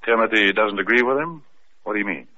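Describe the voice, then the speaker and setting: Low-voiced guy playing a hardboiled detective, tinny old radio sound with hiss. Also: fast talker, bright, forceful tone. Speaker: detective narrator of a radio mystery. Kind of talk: 1940s radio acting.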